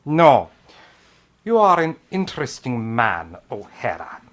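A man playing a haughty, urbane German general with a German accent and a husky, pompous delivery in an old radio drama. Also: mid-range voice, big pitch swings, a bit bright. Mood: smooth menace. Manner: pompous, theatrical, deliberate. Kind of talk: 1950s radio drama acting.

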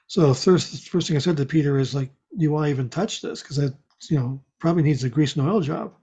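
An older man with a dark, husky voice in casual conversation. He meanders through stories and corrects himself. The audio is somewhat dull and lo-fi. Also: fairly high pitch, fast. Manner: meandering storytelling, self-correcting. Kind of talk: casual chat.

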